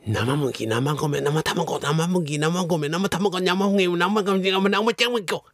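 Casting: excitable Kansai researcher on the run from zombies, gravelly husky voice, dry comedic radio drama. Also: male voice, sits high, slow, no room sound, heavily acted. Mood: flustered. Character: panicky, urgent male researcher fleeing zombies.

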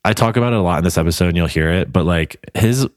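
Low-voiced man, gushing casual podcast host. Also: fast, dry recording, clean studio sound. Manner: gushing, informal, frequent 'like'. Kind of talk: casual podcast interview chat.

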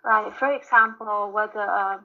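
A woman's voice: mid-range voice, steady tone, moderate pace, lo-fi sound. A woman from Taiwan, an assistant professor, answering interview questions thoughtfully with frequent fillers.

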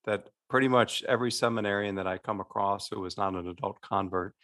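A man's voice: fairly low pitch, fairly quick, a bit bright. A man co-hosting a podcast as a parish priest, talking casually and rambling with restarts. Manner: rambling, many restarts and fillers.

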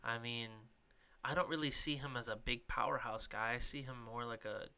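A man co-hosting a sports podcast, rambling casually and letting his sentences trail off. His voice is bright and smooth. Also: mid-range voice, fairly even intonation, normal speed. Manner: rambling, filler-heavy, trails off quietly. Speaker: podcast co-host.

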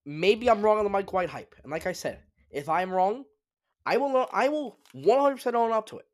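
A man's voice: high voice, expressive, moderate pace. Congested man giving sports opinions. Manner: opinionated, emphatic, restarts often. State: congested, sniffling. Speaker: sports podcast host. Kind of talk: solo sports talk commentary.

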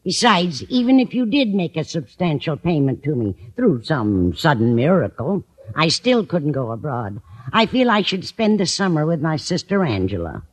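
A woman's voice: low-pitched, sing-song, normal speed, husky, heavily acted, low-quality recording. An actress playing a kindly, scatterbrained older landlady in a 1950s radio comedy, earnest and fussy in manner. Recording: old radio broadcast recording.

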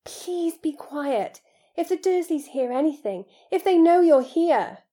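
A woman's voice: high voice, very animated, measured pace, dark tone, pitched above the performer's own voice, heavily acted. A woman playing an earnest, anxious, lonely schoolboy with a hesitant manner in an audiobook. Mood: frantic.

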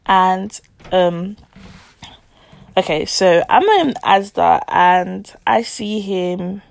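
Twenties, gravelly fry, chatty confiding podcast storyteller, dry close mic. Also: female voice, mid-range voice, animated, drawn-out words, dry recording. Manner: chatty, confiding, addresses listeners as guys. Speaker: podcast host telling a personal story. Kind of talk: casual storytelling.

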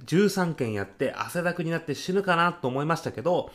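A man's voice: a little high, lively intonation, fairly quick, close to an everyday voice. Male actor as keen young salaryman courier, overzealous, earnest, casually polite, radio comedy sketch. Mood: earnest, excited. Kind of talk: comic radio drama acting.

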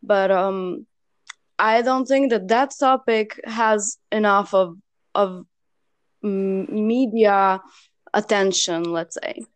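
A woman's voice: mid-range voice, takes it slowly, clean tone, no echo. A young woman from Serbia co-hosts a casual talk. She hesitates often, full of fillers and restarts, in a plain mid-range voice. The recording is dry and close, with no room sound. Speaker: co-host. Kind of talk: casual discussion.

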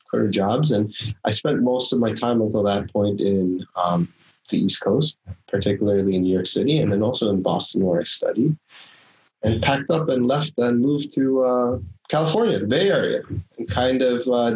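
A man in his fifties from the Philippines talks through his career as an interview guest. He is reflective and thinks aloud as he goes. His voice sits at an ordinary middle pitch for a man. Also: rough recording. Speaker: guest, startup founder. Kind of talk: casual interview storytelling.

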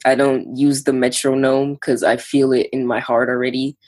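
A young New musician talks through his start in music in a loose, halting way, leaning on fillers as he thinks. His voice sits at a middle pitch for a man comes through in the casual chat. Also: little pitch movement, a little mellow. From New York. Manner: laid-back, halting, lots of "like". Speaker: musician guest. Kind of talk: casual interview.

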